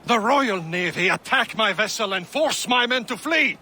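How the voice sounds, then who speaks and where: Haughty French captain, high sharp voice. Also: male voice, expressive, normal speed, slight background noise, exaggerated voice. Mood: disdainful. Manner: pompous, scornful. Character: haughty French nobleman sea captain and assassin, sharp-tongued. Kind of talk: video game cutscene acting.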